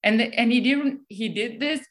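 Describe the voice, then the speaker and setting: A woman's voice: on the high side, moderate pace. A woman with a German accent, a guest speaker answering questions in a casual, hesitant way, full of ums and restarts.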